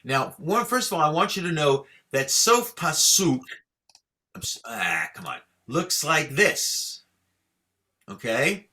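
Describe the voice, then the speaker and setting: A man's voice: fairly high pitch, big pitch swings, pushed and bright. A man teaching Torah chanting to a class over a video call, patient and encouraging as he explains and leads the students. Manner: patient, encouraging, chants each sign slowly for students to repeat.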